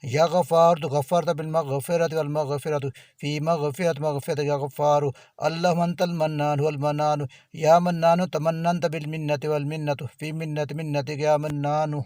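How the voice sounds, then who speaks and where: Fast, rhythmic man chanting supplications. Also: a little high, flat delivery, darkish tone, dry recording, studio quality. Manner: rhythmic repetitive chanting of divine names. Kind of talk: devotional supplication recitation.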